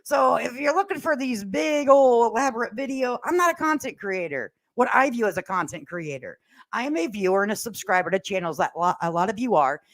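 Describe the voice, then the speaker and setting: A woman's voice: fairly high pitch, lively intonation, fairly quick. An older woman chatting casually as a livestream host, with a folksy, sassy, plain-spoken and slangy way of talking. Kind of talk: casual livestream commentary chat, reading viewer comments aloud. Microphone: standalone microphone at a laptop.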